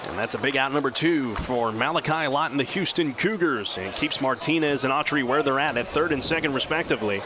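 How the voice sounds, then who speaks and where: A man working as a radio play-by-play announcer, giving live baseball commentary in a measured, descriptive way that lifts with the action. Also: mid-range voice. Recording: clear outdoor ballpark background noise. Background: outdoor stadium ambience.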